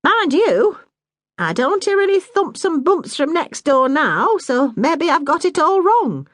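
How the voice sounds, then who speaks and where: A woman voicing a middle-aged mother, bossy but warm-hearted, in a brisk, scolding dialect. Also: high voice, big pitch swings, dry recording, studio quality, voice pushed up. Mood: musing.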